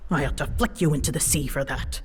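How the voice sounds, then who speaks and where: A woman voicing an ancient, huge female dragon in a scripted roleplay drama, haughty and easily flustered, scolding her rider in formal, measured speech with hidden fondness. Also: low-pitched, natural voice. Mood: mock reproach. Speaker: voice actor. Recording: long reverb, clear background noise.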